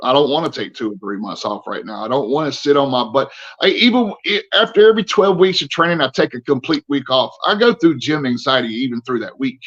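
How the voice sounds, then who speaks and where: A man's voice: mid-range voice, expressive, brisk, few pauses, a bit bright, muffled recording. A man in his forties, an army veteran guest on a podcast, talking candidly and earnestly with many restarts. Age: 40s.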